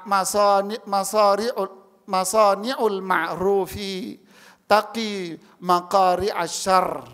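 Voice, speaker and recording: Male preacher, forties, fairly high voice, pulpit stand mic, long hall echo. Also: fairly bright tone. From Indonesia. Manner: rising emphatic calls to the congregation, chanting Arabic slowly. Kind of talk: Friday sermon. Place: large mosque hall, indoors. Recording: long mosque hall reverb.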